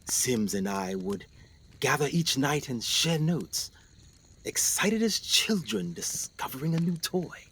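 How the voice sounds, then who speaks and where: An actor playing an intense English doctor-explorer in a radio play, with formal, dramatic storytelling. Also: male voice, mid-range voice, sing-song, takes it slowly, fairly bright tone, slightly rough, full character voice. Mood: reflective, haunted. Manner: dramatic, formal, literary phrasing.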